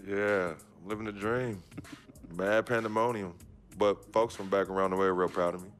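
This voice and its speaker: A man playing a laid-back, proud young basketball rookie from Harlem in a video game cutscene, talking in low-key slang. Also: fairly even intonation, moderate pace, soft background music, close to an everyday voice. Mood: easygoing, proud.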